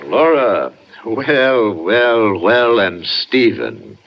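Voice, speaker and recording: A low-voiced, pompous old judge. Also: male voice, big pitch swings, extremely slow, a little hiss or hum, heavily acted. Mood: hearty, appraising. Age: older. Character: hearty older male judge, avuncular but pointed family friend. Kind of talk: 1950s radio drama acting. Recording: muffled 1950s radio recording.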